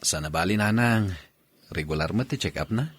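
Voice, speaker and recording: A man playing a devoted husband and father in a radio drama, earnest and gentle, with a deep husky voice and an Ilocano accent. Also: animated, moderate pace, no echo. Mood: dutiful.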